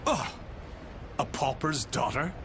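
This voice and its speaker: A man's voice: mid-range voice, expressive, takes it slowly, noisy background. An actor playing a proud, desperate male noble swordsman in a game cutscene, speaking in a haughty, aristocratic way. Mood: bitter.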